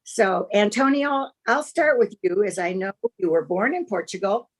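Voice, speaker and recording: An older woman moderating a design panel discussion over a video call, measured, gracious and formal in her delivery. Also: mid-range voice, expressive, low-quality recording.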